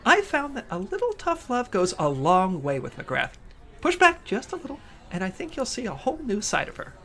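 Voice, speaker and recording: A man playing an upbeat, awkward, well-meaning government agent in a comedic audio drama, earnest and excitable. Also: high-pitched, normal speed, heavily acted.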